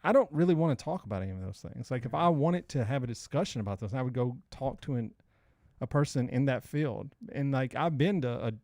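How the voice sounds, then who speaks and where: A man's voice: medium pitch, lively intonation, brisk, a little mellow, no room sound. Male podcast co-host, 40s, mid-range voice, loose slangy storyteller, close dry mic. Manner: informal, slangy, rambling. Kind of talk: casual banter and storytelling.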